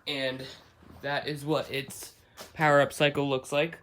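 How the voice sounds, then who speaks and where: A man doing an unscripted product walkthrough, halting as he thinks aloud step by step. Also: on the high side, level tone, a bit bright. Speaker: hobbyist product reviewer. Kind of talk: unscripted unboxing and setup walkthrough.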